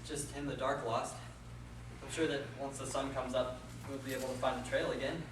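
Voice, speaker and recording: A man's voice: mid-range voice, little pitch movement, fairly quick, lightly acted. A man playing an impulsive, lovestruck young lover in a stage play, earnest and melodramatic, recorded from far off in an echoey hall. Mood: tender. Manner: earnest, playful, swinging to melodrama. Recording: echoey hall, distant mic, noisy.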